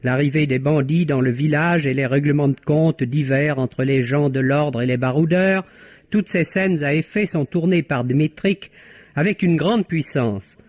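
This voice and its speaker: A man reading a scripted film review on an old radio broadcast, in a measured, articulate way. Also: a little high, a little mellow, no echo. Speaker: film critic. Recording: dull lo-fi archive radio recording.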